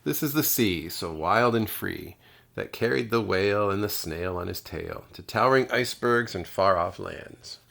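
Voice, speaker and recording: A man's voice: lowish voice, animated, normal speed, gravelly, fairly bright tone. A man reading children's storybooks aloud expressively, like a kindergarten teacher.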